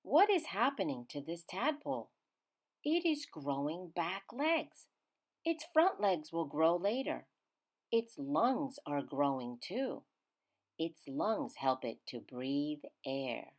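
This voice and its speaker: A woman reading a children's science book aloud in a teacherly way, emphasizing key words with simple, expressive phrasing. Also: deep voice, slow. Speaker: children's read-aloud reader.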